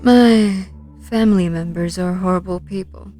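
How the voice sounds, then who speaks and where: A woman playing a cold, bitter informant in a game roleplay, speaking deliberately and defiantly. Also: medium pitch, dark tone, faint music behind, lightly acted. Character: cold, bitter female informant confessing to police. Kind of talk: online game roleplay voice acting.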